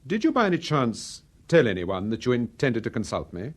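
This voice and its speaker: A man acting in a radio drama as a suave, protective gentleman detective with a British Received Pronunciation accent. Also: mid-range voice, big pitch swings, normal speed. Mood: businesslike.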